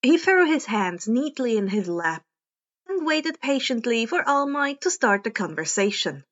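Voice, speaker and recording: A woman reading fan fiction aloud as an expressive narrator, now and then stumbling over words. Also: fairly high pitch, a little slow, lo-fi sound. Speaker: podfic narrator.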